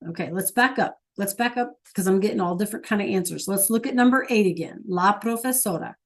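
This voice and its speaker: A woman's voice: mid-range voice, brisk. A woman teaching an online Spanish class, patient and checking in with her students often.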